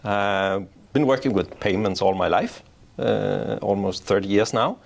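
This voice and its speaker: Man from Denmark, middle-aged, gravelly, low-pitched, explaining payments, interview guest. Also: measured pace. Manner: explanatory, self-restarting. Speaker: payments strategy executive, guest. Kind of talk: interview answers. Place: office in Oslo.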